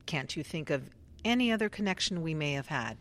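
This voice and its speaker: A woman acting in a radio drama as a composed, insistent researcher who questions in a measured, pressing way. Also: sits low, lively intonation. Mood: cool, controlled. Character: probing, composed, insistent female researcher conducting an interrogation. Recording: music behind.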